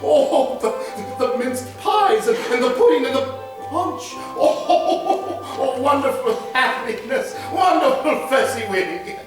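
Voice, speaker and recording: A man performs an elderly miser who gushes in breathless, laughing exclamations. The voice rides high and swings widely. It sounds distant and very echoey. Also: sing-song, unhurried, over music, exaggerated voice. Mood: giddy nostalgia. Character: miserly old man, stirred to giddy joy.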